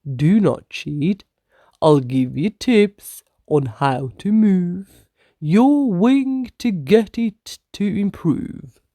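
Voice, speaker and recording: Woman as old female storybook bird, wise, firm, encouraging, very slow, swooping intonation. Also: lively intonation, warm tone, dry recording, heavily acted. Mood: firm, instructive. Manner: booming, instructive, measured.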